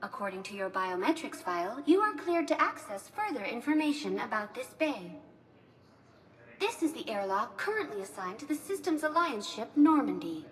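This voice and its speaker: A woman's voice: a little high, very animated, normal speed. A woman playing a polite virtual information guide on a space station in a game, formal and precise, like a scripted announcement. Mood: formal, helpful.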